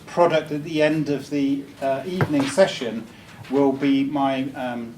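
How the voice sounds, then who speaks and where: A man's voice: mid-range voice, fairly even intonation, measured pace. Joking man, heard from afar. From Wales. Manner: chatty, joking, with many ums and restarts. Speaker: speaker. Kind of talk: informal talk to a live audience. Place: meeting room. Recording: distant mic with faint room noise.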